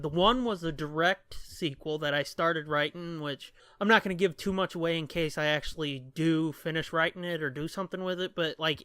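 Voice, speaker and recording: Bright, higher-voiced man chatting casually. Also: no room sound. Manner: rambling, candid, casually profane. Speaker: podcast co-host. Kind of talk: casual podcast chat.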